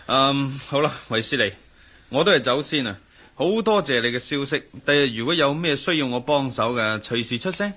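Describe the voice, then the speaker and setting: Emphatic, worldly agency chief, mid-pitched Cantonese. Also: male voice, expressive, normal speed, pushed and bright. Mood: sceptical, resigned. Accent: Hong Kong Cantonese. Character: worldly male agency chief, sociable, sceptical client. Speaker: supporting actor in a radio drama. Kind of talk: radio drama acting.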